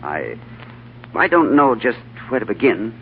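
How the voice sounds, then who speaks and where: An actor playing a troubled English gentleman, hesitant and intense, in 1940s radio drama style. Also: mid-range voice, very animated, unhurried, gravelly, a little hiss or hum. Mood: troubled, searching. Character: troubled, haunted English gentleman recovering from amnesia. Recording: tinny old radio broadcast, faint music.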